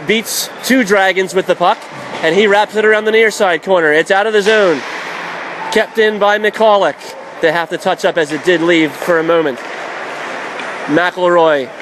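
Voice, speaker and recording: A man's voice: high voice, fairly even intonation, normal speed, a crowd in the background. A man doing live radio play-by-play of ice hockey in clipped, vivid phrases from a noisy arena. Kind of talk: live sports commentary. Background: clear arena background noise.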